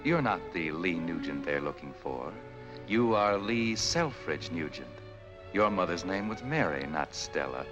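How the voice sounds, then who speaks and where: Deep, ominous film noir narrator. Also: male voice, lively intonation, muffled recording. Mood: sardonic. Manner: ominous, ironic, measured. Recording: vintage 1940s film soundtrack. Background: music.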